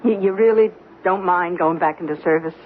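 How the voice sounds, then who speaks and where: A woman's voice: on the low side, a little fast, close to an everyday voice. A tinny, slightly noisy old radio recording carries a woman acting a working-class wife. She speaks earnestly and a little hesitantly, coaxing with hope. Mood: frank, supportive. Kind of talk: 1970s radio drama acting. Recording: phone-like, noisy 1970s radio broadcast recording.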